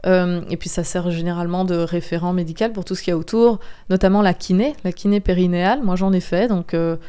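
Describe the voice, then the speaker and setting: Female podcast guest. Very clean, resonant, mellow tone; candid, earnest, insistent delivery with hesitant pauses. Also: on the low side, steady tone, smooth. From Belgium. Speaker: interview guest, comic book author. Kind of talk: candid podcast interview testimony.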